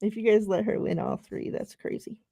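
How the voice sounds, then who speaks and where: A woman's voice: medium pitch, steady tone, brisk, vocal fry, mellow, no room sound, studio quality. A woman hosting a casual sports podcast livestream, who laughs often and draws out her words while running giveaways. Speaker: podcast host. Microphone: remote livestream call.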